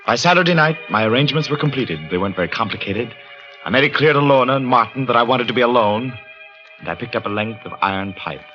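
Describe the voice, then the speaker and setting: An actor playing an obsessed, half-mad pianist in a 1940s radio horror drama, with an intense, brooding, theatrical delivery. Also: male voice, fairly low pitch, sharp tone, muffled recording. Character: obsessed, half-mad pianist who lost his hand. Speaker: lead actor and first-person narrator.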